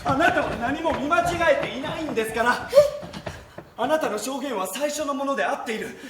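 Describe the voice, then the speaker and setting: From an echoey stage, picked up at a distance, comes an earnest young defence lawyer in a theatrical courtroom drama. His very high voice is emphatic and urgent, pressing every point with desperation. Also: fairly quick. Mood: reassuring. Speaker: stage actor. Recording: echoey stage, distant microphone, faint noise.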